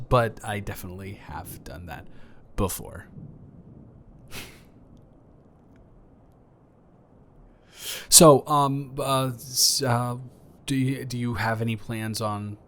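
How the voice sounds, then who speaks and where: A man's voice: fairly low pitch, fairly bright tone, slight background noise. A man playing an awkward, friendly neighbor in an improvised roleplay audio, stammering and rambling hesitantly. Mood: sympathetic, nervous.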